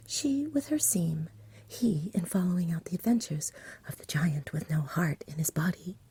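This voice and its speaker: A woman giving a soft-spoken, breathy, whispered ASMR reading of a bedtime story in a gentle storybook manner. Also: on the low side.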